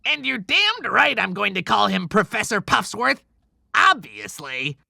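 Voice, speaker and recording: An actor playing an excitable, stubborn, wisecracking sidekick of Santa's in an audiobook drama, with a creaky, bright voice. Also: mid-range voice, unhurried, no echo, studio quality, exaggerated voice. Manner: sarcastic, animated, mouthy. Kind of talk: cinematic audiobook character acting.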